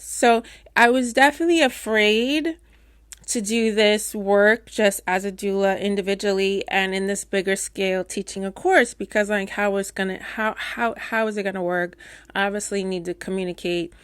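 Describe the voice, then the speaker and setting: A middle-aged woman doula who stutters, giving candid, warm advice to new doulas in a casual talk with playful asides. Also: mid-range voice, dry recording. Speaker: doula and course teacher.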